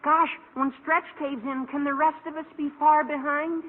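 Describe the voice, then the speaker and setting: An actor playing an earnest, excitable teenage schoolboy in an old radio comedy. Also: high-pitched, moderate pace, lightly acted. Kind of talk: 1940s-50s radio comedy acting. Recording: tinny, echoing old radio broadcast.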